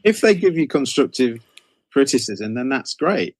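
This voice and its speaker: A man's voice: mid-range voice, sing-song, moderate pace, dry recording. A middle-aged man with an ordinary mid-range voice talks through his answers as an interview guest. He circles back and rephrases as he goes, in a conversational way. The sound is dry, with very little room on it. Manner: rambling, repeats and rephrases phrases. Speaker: guest, horror author.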